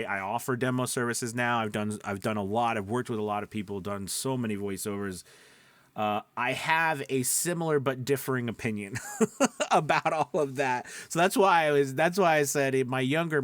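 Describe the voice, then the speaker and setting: A man's voice: medium pitch, a bit bright, dry recording. A man talking casually to camera as a coach and host, in a rambling, conversational way, often checking in with the listener. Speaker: voice-over coach hosting a video.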